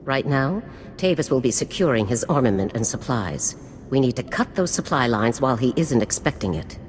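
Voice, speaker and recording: A woman's voice: very deep voice, very animated, moderate pace. An actress playing a stern, commanding female special forces general in a video game, speaking in a formal, authoritative, clipped way. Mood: grave, commanding. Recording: long reverb with faint noise.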